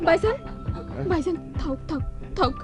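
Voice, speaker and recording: An actress playing an anxious, pining young village wife in a radio play, timid and plaintive, with a rural eastern Bengali accent. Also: female voice, very high voice, expressive, normal speed. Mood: urgent. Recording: loud music behind, radio drama.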